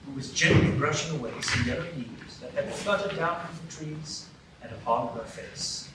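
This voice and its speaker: A man's voice: fairly high pitch, moderate pace. A man narrating a live radio play in a storybook manner. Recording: echoey stage, distant mic, noisy.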